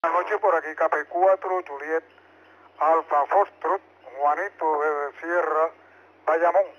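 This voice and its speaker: A Puerto Rican man speaks slowly and formally in a fairly high voice as he checks in on ham radio. Clear noise sits on the transmission. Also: steady tone. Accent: Puerto Rican Spanish. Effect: two-way radio transmission. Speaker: amateur radio operator checking in. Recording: muffled, noisy two-way radio transmission.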